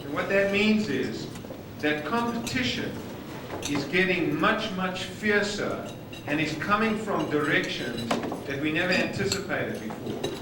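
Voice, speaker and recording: A man's voice: high-pitched, expressive, unhurried, noisy background. A man with a South African accent giving a conference presentation, stressing key words emphatically, recorded from afar in a large hall. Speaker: conference speaker. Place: conference hall with dining noise. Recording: echoey hall from far off on old videotape.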